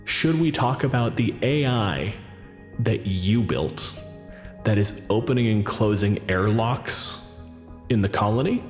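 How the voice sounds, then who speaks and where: A man's voice: on the low side, expressive, slow, long pauses. A man playing an accusing, sardonic political challenger with a pointed, rhetorical manner in a roleplaying game. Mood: probing, pointed. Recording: echoey reverberant sound, music underneath.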